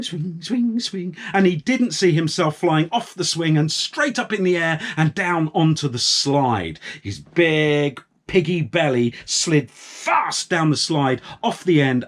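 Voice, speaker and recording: A man telling a story to young children in a playful way, drawing out and repeating words. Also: a little high. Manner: playful, with drawn-out words and repetitions.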